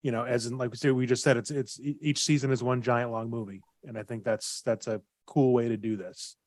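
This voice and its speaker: A man's voice: mid-range voice, fairly even intonation, brisk, a little mellow, no echo, studio quality. A man giving his opinions casually as a panelist on a discussion podcast. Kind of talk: casual chat.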